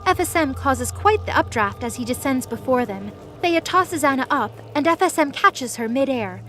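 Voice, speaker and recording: Female narrator for scripted audio drama; slightly high voice, music under the read. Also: lively intonation, normal speed, a bit bright.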